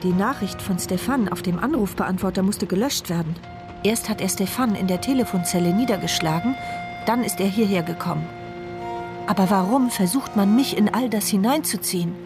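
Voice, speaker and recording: Give the radio drama a woman narrator played by an actress, paralysed and watchful, telling her story from the inside. Her pitch sits in a middle range. She carries a tense, vigilant temper, with music behind her. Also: moderate pace, close to an everyday voice. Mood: tense, bitter. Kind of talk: radio play first-person narration.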